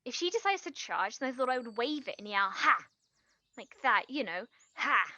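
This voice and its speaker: An actress playing an earnest, tender younger woman in a stage play, speaking haltingly and trailing off. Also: fairly high pitch, very animated, bright, forceful tone, dry recording, exaggerated voice. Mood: earnest, eager. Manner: halting, trailing off mid-sentence. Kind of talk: stage play acting, quiet two-hander.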